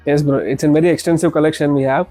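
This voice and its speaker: A man's voice: a little high, steady tone, fast, mellow, no echo, faint music behind. A man with an Indian accent giving an informal product demonstration as a shop salesman, often repeating words and phrases as he explains.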